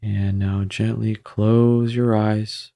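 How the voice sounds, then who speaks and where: A man guiding a meditation in a gentle, measured way, with frequent pauses between phrases. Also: fairly low pitch, little pitch movement, vocal fry. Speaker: meditation guide. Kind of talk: guided meditation narration.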